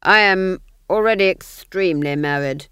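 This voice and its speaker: A woman's voice: lowish voice, moderate pace, fairly bright tone, smooth, dry recording, studio quality, close to an everyday voice. A woman voicing a scheming middle-aged male duke who commands with falsely friendly, silky menace. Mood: commanding.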